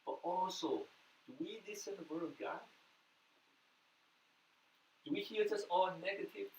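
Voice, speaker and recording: A man's voice: sits high, normal speed, creaky. Man preaching in an echoey room. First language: Mandarin. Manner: earnest preaching, sudden loud bursts then soft drops. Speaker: pastor. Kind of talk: sermon. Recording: echoey room, mic at a distance, faint noise.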